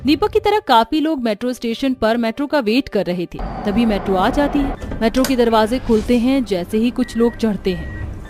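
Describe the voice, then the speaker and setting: A woman narrating a scripted cartoon horror story in a steady, dramatic storytelling manner. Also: mid-range voice.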